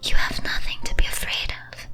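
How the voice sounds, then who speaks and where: A woman whispers a scripted ASMR monologue in a breathy, teasing, theatrical and deliberate way. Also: bright, forceful tone, faint music behind. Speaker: streamer performing as a character.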